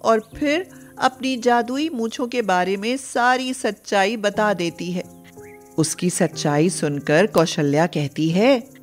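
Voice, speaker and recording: Unhurried woman narrating a story. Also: medium pitch, soft background music.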